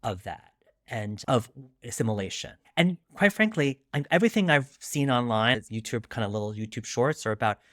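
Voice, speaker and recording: A man's voice: medium pitch, animated, moderate pace, a bit bright, no echo, studio quality. A middle-aged man from Long Island, New York, speaking candidly and reflectively as a guest in an interview, often adding asides. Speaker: guest author.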